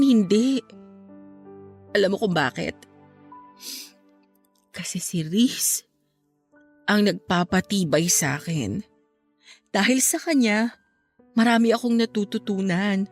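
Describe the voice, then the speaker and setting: A woman's voice: medium pitch, unhurried, long pauses, natural voice. A young woman playing a bereaved, heartbroken woman in a Filipino radio drama, speaking in a plaintive, emotional way. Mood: anguished, bitter. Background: music.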